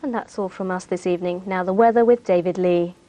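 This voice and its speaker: A woman's voice: lowish voice, steady tone, fast, dark tone, no echo, low-quality recording. A woman in her thirties reading scripted television news in a studio. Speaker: news presenter. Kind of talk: scripted newsreading. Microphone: lapel mic.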